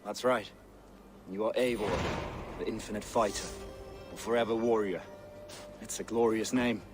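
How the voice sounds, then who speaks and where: A grave, philosophical warrior acted by a man for a video game. His voice is fairly low and solemn, proud in its fatalism, with clear music behind it. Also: fairly even intonation, normal speed, natural voice. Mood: admiring, solemn. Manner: solemn, declarative. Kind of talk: video game cutscene acting.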